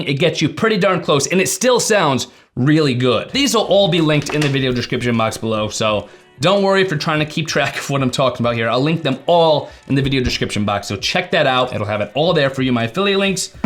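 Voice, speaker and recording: Animated, higher-voiced man explaining tech casually. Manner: informal, colloquial, emphatic on key words. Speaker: tech reviewer and video host.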